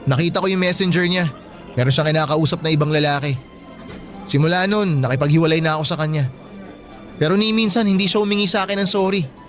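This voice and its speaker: A man's voice: fairly high pitch, fast talker, fairly bright tone, lightly acted. A man acting an earnest, disapproving young boyfriend in a radio drama, with a Filipino accent and an insistent, emotional delivery. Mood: bitter. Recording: music bed behind the voice.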